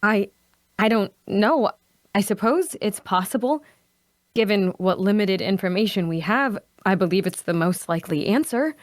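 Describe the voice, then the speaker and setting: A woman playing a principled, soft-hearted adventurer with an earnest, hesitant manner in a fantasy audio drama. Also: mid-range voice, lively intonation, moderate pace, no echo, studio quality, natural voice. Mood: troubled, hesitant.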